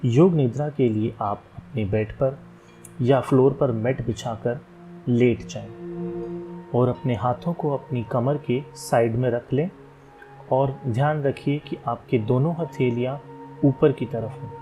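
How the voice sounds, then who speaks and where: A man with a medium-pitched voice guides a meditation in calm, measured instructions. He leaves long, unhurried pauses between phrases. Meditation music plays clearly behind his voice. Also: dull audio. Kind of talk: guided yoga nidra meditation, slow soothing instructions.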